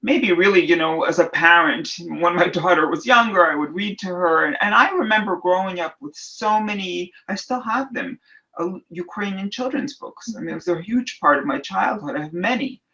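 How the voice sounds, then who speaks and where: A middle-aged woman artist answering interview questions thoughtfully, pausing often and searching for words. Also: lowish voice, bright, forceful tone, dull audio. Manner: thoughtful, searching for words with many fillers and restarts. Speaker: artist and illustrator guest.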